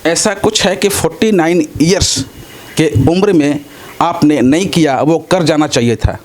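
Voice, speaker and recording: A man's voice: fairly high pitch, measured pace, a little mellow. A man working as an interviewer, putting wordy, roundabout questions to a guest.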